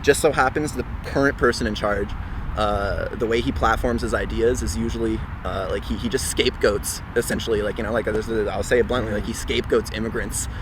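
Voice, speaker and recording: A man's voice: fairly low pitch, steady tone, normal speed. Candid Montreal man, traffic behind. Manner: candid, rambling, many "like" fillers, blunt. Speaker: street interviewee. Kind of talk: casual street interview answer. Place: city street outdoors. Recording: street traffic noise behind. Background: passing cars.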